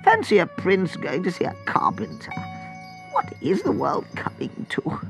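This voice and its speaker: A man doing a croaking, grumpy old advisor. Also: high-pitched, wildly swooping pitch, music in the background, pitched above the performer's own voice, heavily acted, low-quality recording. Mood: grumbling. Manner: whining, slow and moaning. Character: fat grumpy old male advisor, complaining. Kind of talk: storybook reading.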